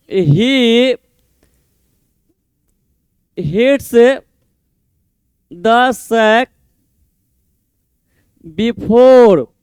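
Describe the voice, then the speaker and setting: A male teacher, explaining idioms for an exam and repeating each meaning slowly as he writes. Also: very high-pitched, smooth, no room sound. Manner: mixes Hindi explanation with English example sentences. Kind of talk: exam-prep idiom lesson, writing on a board.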